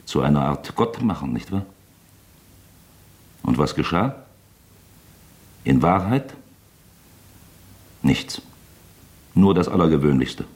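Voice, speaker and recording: Wily examining magistrate, very low voice, highly animated, teasing, falsely chummy, theatrical radio play delivery. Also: male voice. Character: wily, talkative, mock-genial examining magistrate toying with suspect. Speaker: actor in a radio drama. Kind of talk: German radio drama acting.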